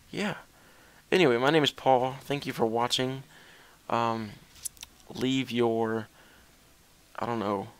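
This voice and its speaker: Smooth-voiced young man rambling casually. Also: mid-range voice, fairly bright tone. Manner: self-deprecating, hesitant. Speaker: gaming video commentator.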